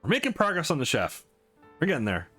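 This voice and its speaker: A man's voice: fairly high pitch, huge pitch swings, fast, sharp tone, husky. A man hosting a let's play, commenting casually on a visual novel as he goes. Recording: music under the voice. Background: game music.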